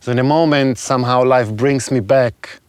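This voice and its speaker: A man with a continental European accent, a non-native English speaker, talking reflectively and haltingly as an interview guest. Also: mid-range voice, steady tone, darkish tone, smooth, no echo. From Serbia, moved to Germany as a child. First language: not English. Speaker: guest, shaman and spiritual healer. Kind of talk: conversational interview talk.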